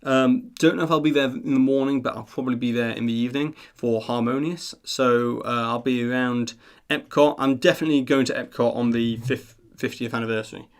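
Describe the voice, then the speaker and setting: A man's voice: medium pitch, fairly even intonation, fairly quick, clean tone. A man hosting a live stream, chatting casually in an earnest, rambling, self-deprecating way. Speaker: live stream host of a theme park news channel.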